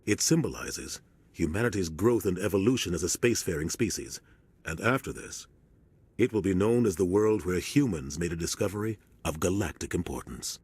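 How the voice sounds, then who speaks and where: A deep-voiced steady starship captain. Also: male voice, normal speed, slightly rough, dry recording, heavily acted. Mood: earnest. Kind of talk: video game cutscene acting.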